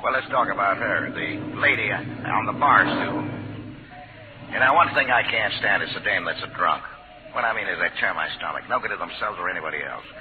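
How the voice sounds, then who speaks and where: An actor playing a cruel, mocking, impatient gangster boss who barks orders in tough street slang, in 1940s radio drama style. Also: male voice, on the low side, fairly quick, lightly acted. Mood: gruff, menacing. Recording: scratchy narrow-band 1940s radio recording.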